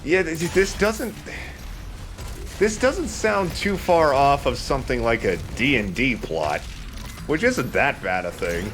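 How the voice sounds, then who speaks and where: A man giving casual, joking let's play commentary, wry and quick to swear. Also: medium pitch, very animated, a bit bright, a little hiss or hum.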